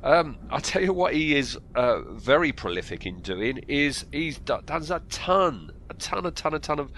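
A man's voice: on the high side, big pitch swings, moderate pace, fairly bright tone, slight background noise. A man with a slightly congested voice from a cold, hosting a casual film podcast in a hesitant, rambling way and repeating himself. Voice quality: bunged-up nose from a cold. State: has a cold. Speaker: podcast host. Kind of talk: casual film discussion reading out actor trivia.

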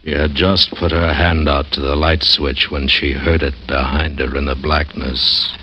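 A man's voice: extremely low pitch, little pitch movement, measured pace, sharp tone, a little hiss or hum, full character voice. A man playing an ominous storyteller in a 1950s radio horror drama. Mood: ominous, hushed.

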